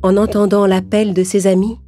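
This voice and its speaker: A woman's voice: mid-range voice, flat delivery, normal speed, warm tone. A woman narrator reading a scripted fairy tale for children. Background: background music.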